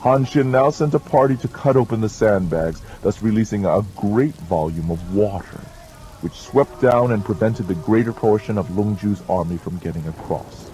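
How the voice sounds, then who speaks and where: A man narrating a scripted audiobook war history in a formal, measured storytelling manner. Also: low voice, poor audio.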